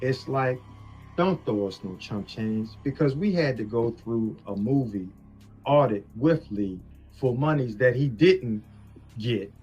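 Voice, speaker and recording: A man's voice: mid-range voice, lively intonation, measured pace, darkish tone. A man arguing on a phone call, insistent and lecturing as he lays out his point. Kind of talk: argumentative phone conversation. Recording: leaked phone call with music underneath.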